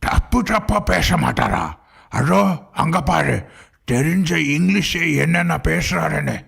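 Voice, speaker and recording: Guy doing a super croaky husky cartoon schoolkid, doubtful, asking stuff, lively pitch. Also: a little slow, heavily acted. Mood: skeptical. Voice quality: gravelly, husky. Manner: questioning, skeptical.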